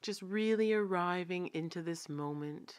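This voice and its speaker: A woman meditation teacher guiding a body scan in a calm, unhurried, gently instructive manner, leaving long pauses. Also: on the low side, clean tone.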